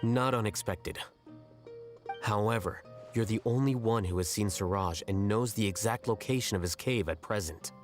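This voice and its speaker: An aloof young scholar from a video game played by a man, with a lowish voice. He is measured, logical and faintly condescending, with clear music playing behind him. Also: lightly acted. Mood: calm, analytical. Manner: measured, matter-of-fact, condescending.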